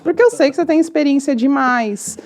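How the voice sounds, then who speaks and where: A woman in her twenties from Brazil hosting a casual podcast interview, playful and quick to laugh. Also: sits high, crowd noise. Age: 20s. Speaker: podcast host.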